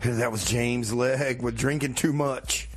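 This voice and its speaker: A man's voice: medium pitch, fairly even intonation, unhurried, slight background noise, lightly acted. A man playing a scatterbrained, boastful radio host in a comedy show, rambling and exclaiming in a flustered way. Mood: fretful. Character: scatterbrained, boastful radio host fretting over award paperwork. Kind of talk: comedy radio theater and music DJ banter.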